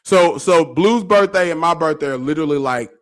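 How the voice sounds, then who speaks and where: Man, forties, high voice, chatty, repeats words. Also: a little fast. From Arkansas. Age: 40s. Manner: chatty, repeats himself, laughs easily. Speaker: co-host. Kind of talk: casual sports chat.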